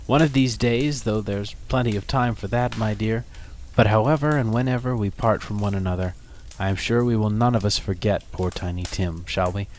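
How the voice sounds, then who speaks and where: A man reads as a poor, gentle father in an old storybook. He addresses his family with tender, earnest feeling through his grief. A bit of noise sits behind the voice. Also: lowish voice, moderate pace, natural voice. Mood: fond, hopeful.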